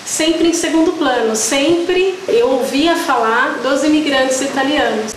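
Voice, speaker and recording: A woman in a documentary interview, explaining local history in a measured way and often adding tag questions. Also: high-pitched. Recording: very echoey room with clear noise.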